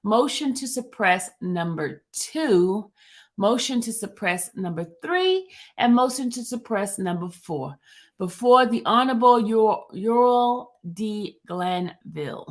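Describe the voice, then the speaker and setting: A woman hosting a casual livestream, greeting her chat and then reading a court transcript aloud, with a relaxed delivery full of ums. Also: mid-range voice, takes it slowly.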